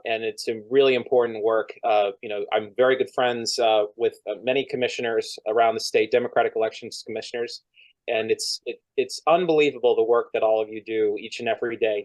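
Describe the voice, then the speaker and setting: A man's voice: mid-range voice, rough recording. A man from Vermont, a lobbyist, answering interview questions over a video call in a fluent, polished way. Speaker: lobbyist and former legislative staffer.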